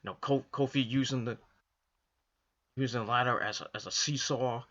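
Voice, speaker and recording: Hoarse man rambling about wrestling. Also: mid-range voice, normal speed, fairly bright tone, lo-fi sound. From Northeastern US. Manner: rambling, repetitive, frequent restarts and fillers. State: hoarse, coming down with a cold. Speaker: wrestling video reviewer. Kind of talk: casual solo commentary to camera.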